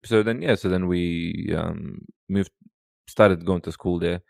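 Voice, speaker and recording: A man's voice: low voice, takes it slowly, vocal fry, a little mellow, dry recording, clean studio sound. A man in his thirties from Sudan, a podcast guest, telling childhood stories in a rambling casual way with lots of "you know.". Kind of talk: casual storytelling conversation.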